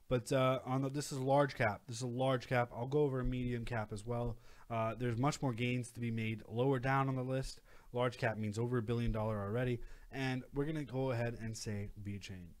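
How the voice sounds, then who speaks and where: A young man hosting a casual video, talking through price charts with lots of fillers and restarts. He is losing his voice. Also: mid-range voice. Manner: rambling, many fillers and restarts. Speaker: crypto channel host. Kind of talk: casual screen-share chart commentary.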